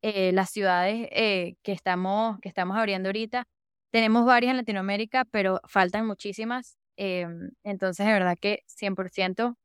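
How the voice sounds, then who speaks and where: A woman's voice: medium pitch, fairly even intonation, moderate pace, clear, resonant tone, no echo, studio quality. A young woman from Venezuela, a podcast guest chatting casually and enthusiastically about her work, often stacking words like "really" and "super.". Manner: enthusiastic, repeats "de verdad que" and "súper". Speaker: podcast guest, user researcher. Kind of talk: casual interview chat.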